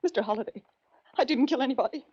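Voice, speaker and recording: Frightened, highly strung radio melodrama heroine, husky. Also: female voice, warm tone. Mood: pleading, panicked. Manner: breathless, pleading, halting. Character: frightened, fragile, highly strung woman trapped aboard yacht. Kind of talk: 1940s radio melodrama acting. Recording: dull, muffled old radio recording.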